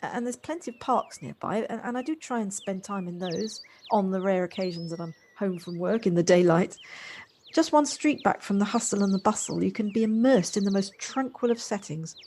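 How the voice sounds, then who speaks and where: A woman's voice: mid-range voice, a little fast, darkish tone, slight background noise, lightly acted. An actress playing a busy city career woman in an audio drama, polite, chatty and slightly flustered. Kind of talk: amateur audio drama acting.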